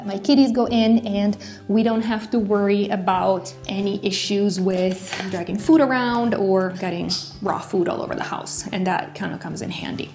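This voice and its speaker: A woman in her thirties talks casually to a camera set up across her home kitchen, relaxed and chatty, sometimes tripping over a word and trying it again. Her voice sits at an ordinary middle pitch. The room gives it a noticeable echo, and soft music plays faintly underneath. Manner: chatty and relaxed, with filler words and frequent self-corrections. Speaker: pet care vlogger. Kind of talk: casual how-to talk to camera. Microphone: camera on tripod at a distance.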